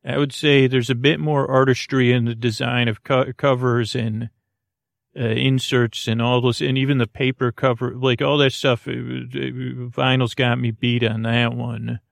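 A man with a creaky voice hosts a bedtime sleep podcast, meandering in a halting, self-interrupting way with drawn-out, soothing words. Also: mid-range voice, level tone, dry recording, studio quality. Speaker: podcast host.

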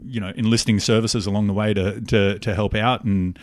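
Aussie male podcast guest, thirties, lower voice, dry close sound, loose anecdotal delivery. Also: fairly even intonation, fairly quick, dry recording. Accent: Australian. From Australia. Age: 30s. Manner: rambling, informal storytelling with slang. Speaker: podcast guest, cookbook publisher. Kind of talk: candid casual interview chat.

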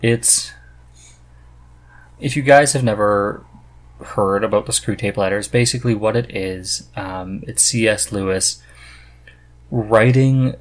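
A man with a fairly low voice hosts a casual podcast. He talks earnestly and with enthusiasm, in a loose conversational style. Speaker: podcast host.

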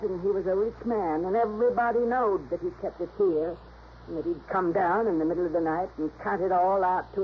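An actress playing a fretful, gossipy housekeeper in a radio drama, rambling in folksy, ungrammatical speech. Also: female voice, medium pitch, close to an everyday voice. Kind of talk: 1960s radio drama acting. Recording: noisy, muffled old radio drama recording.

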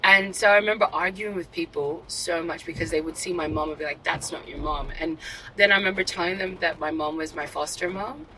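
Young woman, candid animated storyteller, lots of restarts and fillers, street noise in the background. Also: on the low side, a little fast, low-quality recording. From Australia. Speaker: actor and writer. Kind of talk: casual interview chat.

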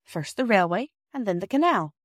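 A woman voicing a gentle, loving storybook mother with a calm, measured way of talking. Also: mid-range voice, dry recording, studio quality. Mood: tender.

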